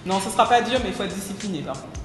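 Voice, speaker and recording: A woman's voice: mid-range voice, animated, very fast. A woman teaching a hair care class, firm and direct with her students. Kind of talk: instructing a class. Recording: echoey hall with music.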